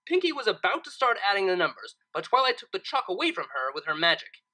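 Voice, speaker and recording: A woman reading fan fiction, with expressive narration and casual commentary in a theatrical, emphatic manner. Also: mid-range voice, fast.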